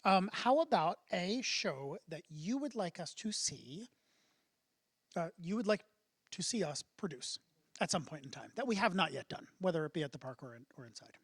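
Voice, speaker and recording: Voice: mid-range voice, sing-song, moderate pace, slightly rough, no room sound, clean studio sound. A co-host chatting through a theater interview, explaining at length with laughs along the way. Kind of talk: casual interview chat.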